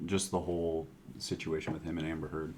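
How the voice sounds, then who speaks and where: A man's voice: extremely low pitch, flat delivery, fairly quick, darkish tone, slight background noise. A man co-hosting a casual movie podcast, making brief, understated remarks. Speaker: podcast co-host.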